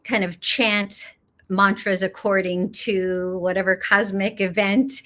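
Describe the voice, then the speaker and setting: Slow-talking middle-aged woman, laughs often. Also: medium pitch, little pitch movement, sharp tone. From Los Angeles, United States. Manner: unhurried, laughs often, many "um" pauses. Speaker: yoga teacher, guest. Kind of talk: casual interview chat.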